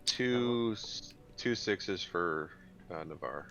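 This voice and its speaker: Slow, low-voiced man playing a tabletop game. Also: expressive, fairly bright tone, dull audio. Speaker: tabletop roleplaying player. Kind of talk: casual character introduction. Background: music.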